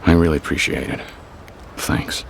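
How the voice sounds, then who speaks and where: A man's voice: normal speed, slight background noise, lightly acted. An actor playing a stoic, terse tough man in a video game dub, with an American accent. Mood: calm, weary. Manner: terse, restrained. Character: stoic, plain-spoken tough man in hiding.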